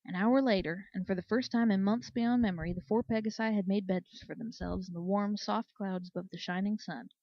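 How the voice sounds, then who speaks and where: A woman narrating a story in an expressive read-aloud style. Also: mid-range voice, a little fast, few pauses, no room sound, muffled recording. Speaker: story reader. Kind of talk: expressive audiobook-style narration of fan fiction.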